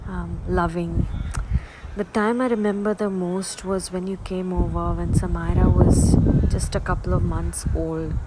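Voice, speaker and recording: Woman friend giving a soft, halting tribute; loud background noise on the track. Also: lowish voice. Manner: tender, halting, heartfelt. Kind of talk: personal spoken tribute.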